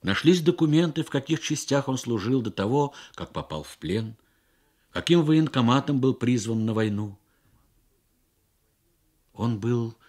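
A man narrating a literary story for radio with measured, expressive phrasing and deliberate pauses. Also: lowish voice, slightly rough. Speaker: story reader.

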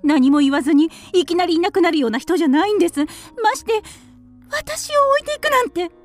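Earnest, high-voiced little canary. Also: female voice, expressive, a little fast, soft background music. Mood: distressed, insistent. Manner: polite, wistful, emotive. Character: earnest, devoted female canary telling her life story. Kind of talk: audio drama storytelling.

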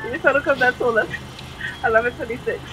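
A woman's voice: on the high side, rapid-fire. A woman working at a drive-thru, talking casually to a customer, heard from inside a car. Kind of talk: casual customer service talk. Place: drive-thru window or order speaker. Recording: car and outdoor noise, heard from car.

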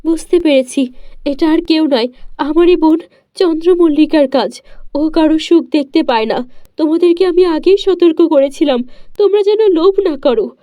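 A woman's voice: sits high, moderate pace, warm tone, no echo, studio quality, close to an everyday voice. A woman voicing a kind, morally firm good fairy in a cartoon tale, earnest and admonishing. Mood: disappointed.

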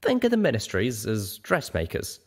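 Man voicing a wistful huge dragon, animated intonation. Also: medium pitch, moderate pace, voice pushed up. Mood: explaining, careful. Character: huge old male dragon, nostalgic, earnest, wistful.

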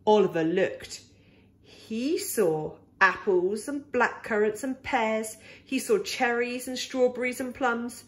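A woman reading a children's picture book aloud in an animated, expressive, deliberate way. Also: fairly high pitch.

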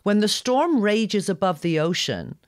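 Woman voicing a high-pitched, imperious young gargoyle girl. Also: sing-song, unhurried, dry recording, clean studio sound. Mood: scornful, imperious. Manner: imperious, scoffing. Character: proud, bossy young gargoyle girl, injured but defiant.

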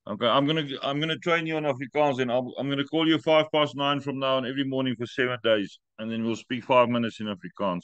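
A man's voice: mid-range voice, fairly even intonation, quick pace, few pauses, fairly bright tone, smooth, dry recording, dull audio. Man hosting a video call. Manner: friendly, joking, invites others to speak. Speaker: group meeting host. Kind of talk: casual video call chat. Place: home room with stone wall. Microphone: laptop webcam video call.

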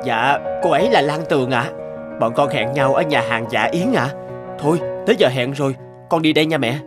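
A man voicing a dutiful but stifled young adult son in an animated moral tale, speaking earnestly and politely with a plaintive edge. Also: medium pitch, fairly quick, over loud music, lightly acted.

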